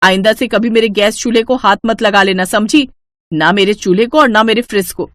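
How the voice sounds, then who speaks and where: A woman voicing a haughty, scornful young rich daughter-in-law in an animated storybook tale, scolding and condescending. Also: medium pitch, brisk, no echo, clean studio sound, lightly acted. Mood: scornful, angry.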